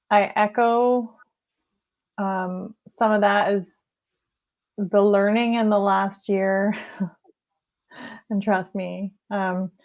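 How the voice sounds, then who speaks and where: A woman hosting a conversation, soft-spoken and a bit rambling, sounding low on energy. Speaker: life coach host. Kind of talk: casual interview chat. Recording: slightly dull lo-fi audio.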